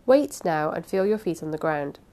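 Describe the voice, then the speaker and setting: Kindly little old uncle for an audiobook, played by a woman: gentle, coaxing, given to exclaiming. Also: sits low, lively intonation, normal speed. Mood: soothing. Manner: gentle, coaxing, short repeated phrases. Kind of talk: audiobook character voice.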